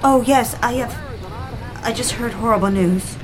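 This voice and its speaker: A woman's voice: fairly high pitch, normal speed, noisy background, close to an everyday voice. A woman playing a tormented, pleading wife haunted by voices in an audio drama. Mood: distressed. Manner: anxious, pleading, repeats herself. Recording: music under the voice.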